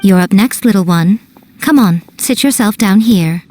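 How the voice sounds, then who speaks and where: A woman voicing a sly fox-spirit shrine priestess in a game dub, smooth, playful and teasing. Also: mid-range voice, normal speed, a crowd in the background, lightly acted.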